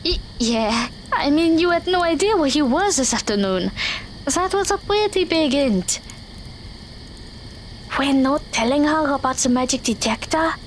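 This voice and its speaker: A woman's voice: high voice, animated, unhurried, a little hiss or hum, low-quality recording. A woman acting in an audio drama as a timid but cheerful teenage magical girl with a hesitant stammer. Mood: shy, friendly.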